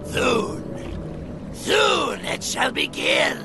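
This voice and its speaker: An actor playing a menacing, fanatical sea creature in a fantasy game, speaking with exultant fervour. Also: male voice, very high-pitched, very animated, very slow, gravelly, shouting, music in the background, full character voice. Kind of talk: dramatic fantasy game dialogue.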